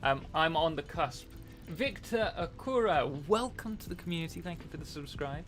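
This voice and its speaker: A man's voice: fairly high pitch, animated, normal speed, a bit bright, soft background music. A young man hosting a casual live stream while playing a game, rambling and answering chat messages.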